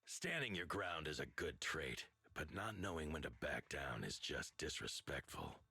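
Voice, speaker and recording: A big stern biker league boss for a game, deep, really animated, formal and commanding. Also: male voice, a little slow, breathy edge, dry recording, full character voice. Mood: measured, authoritative. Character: imposing male overlord leader of a motor league, stern, dignified.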